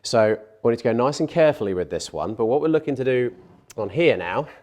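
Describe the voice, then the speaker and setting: A man with a stuffy, slightly nasal voice from a cold, calmly guiding a stretching class with light self-mocking asides. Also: mid-range voice, fast talker. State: congested from a cold, a bit nasal. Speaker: fitness instructor.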